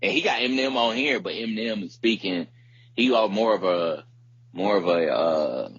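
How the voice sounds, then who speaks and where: A man's voice: fairly low pitch, animated, normal speed, fairly bright tone, slightly rough, dry recording, dull audio. A man chatting casually as a podcast co-host, in slangy talk that often circles back to repeat itself. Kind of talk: casual chat about hip hop.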